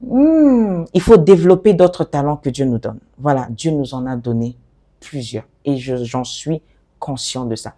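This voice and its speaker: A middle-aged woman from the DR Congo, an interview guest who talks about her work in an animated, expressive way and often restarts her phrases. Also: a bass voice, unhurried. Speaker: guest, fashion designer and author. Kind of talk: conversational interview.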